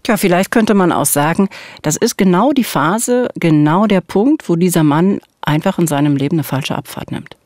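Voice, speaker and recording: A woman's voice: on the low side, expressive, moderate pace, darkish tone, dry recording. A middle-aged woman hosts a true-crime podcast from a radio studio. She narrates conversationally, and the sound is very dry. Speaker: podcast host. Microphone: studio microphone with headphones.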